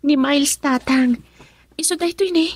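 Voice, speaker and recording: A woman's voice: high-pitched, normal speed, no echo, close to an everyday voice. A woman acting an anxious young daughter in love in a radio drama, plaintive, with a Filipino accent. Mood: uneasy.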